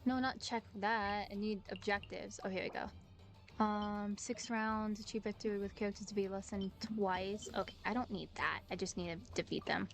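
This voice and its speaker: A woman's voice: medium pitch, fairly even intonation, moderate pace. A woman game streamer chatting casually as she thinks aloud through her moves and reacts with exclamations. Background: music.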